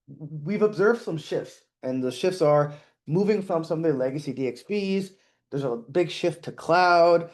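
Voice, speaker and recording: A man's voice: on the high side, a little mellow. A middle-aged man giving a conference talk on stage, casual and self-deprecating, with many restarts and fillers. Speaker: conference speaker. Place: conference stage before an audience.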